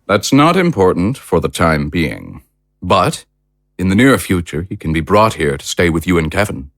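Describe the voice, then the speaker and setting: An actor playing a coldly polite, powerful villain in an audio drama, speaking in a formal, measured, condescending way. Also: male voice, low-pitched, sing-song, a bit bright, no echo, clean studio sound, full character voice. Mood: smooth persuasion.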